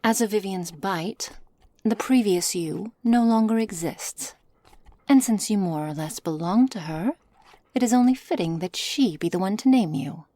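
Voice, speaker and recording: A woman playing a haughty, condescending witch in an ASMR roleplay, speaking softly in measured, formal phrases with a commanding manner. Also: medium pitch, no echo, natural voice. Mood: stern, impatient. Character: cold, haughty witch, imperious captor of a werewolf. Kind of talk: ASMR roleplay, scripted character acting. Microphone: close studio mic, dry.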